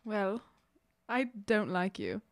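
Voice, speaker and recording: A woman's voice: medium pitch, sing-song, slow, clean tone, no echo, studio quality. A woman voicing a stubborn young daughter in a tabletop roleplay, plaintive and blunt. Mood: defiant, annoyed. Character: young demigod daughter, upset, stubborn and attached to family.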